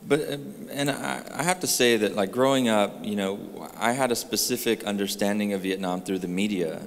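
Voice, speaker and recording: Man, 30s, low pitch, earnest and searching, panel talk in a reverberant room. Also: moderate pace, vocal fry. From California. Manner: earnest, gestures while talking, many restarts. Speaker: artist panelist. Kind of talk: panel discussion. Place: gallery room with a live audience. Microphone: handheld mic. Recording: reverberant gallery hall.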